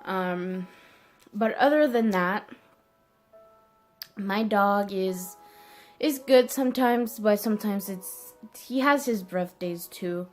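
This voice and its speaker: Slow, hesitant young woman. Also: medium pitch, faint music behind. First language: French. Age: early 20s. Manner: hesitant, halting. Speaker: vlogger. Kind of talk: casual personal vlog to camera.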